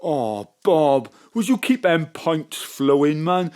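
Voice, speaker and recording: A man's voice: a little high, sing-song, takes it slowly, darkish tone. A man playing a maudlin Welsh pharmacy clerk in mourning, mournful and plain-spoken, in a comic audio drama with a Welsh accent. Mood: maudlin, grieving.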